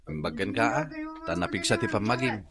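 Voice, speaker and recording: A man's voice: fairly low pitch, lively intonation, fairly quick, over a noisy crowd, natural voice. A man acting as an earnest working husband in an Ilocano radio drama. He speaks with an Ilocano accent, in the plain dramatic style of a serial. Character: hardworking married man, earnest friend, hospital patient.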